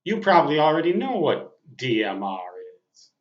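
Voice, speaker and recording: A man in his sixties giving a casual, reflective monologue as a veteran radio hobbyist, speaking in a deliberate, halting way with emphatic phrases. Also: on the high side, big pitch swings. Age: 60s. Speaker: veteran amateur radio operator. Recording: muffled audio in a roomy space.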